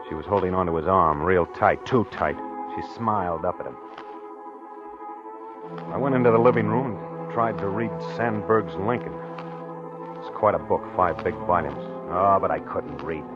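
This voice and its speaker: An American actor playing a jealous, tormented newlywed husband in 1940s radio drama, intense and confessional, quick to anger. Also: male voice, sits low, close to an everyday voice. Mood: brooding, tormented. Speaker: actor and first-person narrator. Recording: dull 1940s radio recording, music behind.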